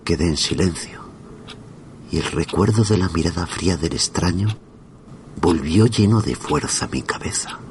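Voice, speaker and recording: A man from narrating a first-person horror story in a slow, hushed, suspenseful manner. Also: deep voice, slight background noise.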